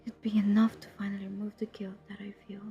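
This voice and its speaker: A woman playing a centuries-old, guilt-ridden but determined vampire hunter in an intimate audio roleplay, speaking in a hushed, confessional, breathy voice that often drops to a whisper. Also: mid-range voice, monotone, dull audio, soft background music, close to an everyday voice. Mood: weary, guilty resolve. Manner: hushed, confessional, halting, earnest. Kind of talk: intimate dramatic audio roleplay addressed to the listener.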